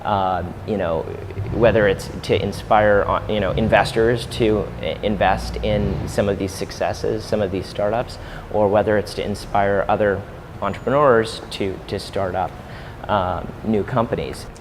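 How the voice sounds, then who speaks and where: Brief: man in his thirties from St. Louis, lowish voice, halting and filler-heavy, faint hiss in the room. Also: steady tone. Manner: hesitant, full of fillers and restarts. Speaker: startup incubator co-founder. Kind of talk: interview answers to camera. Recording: faint background noise.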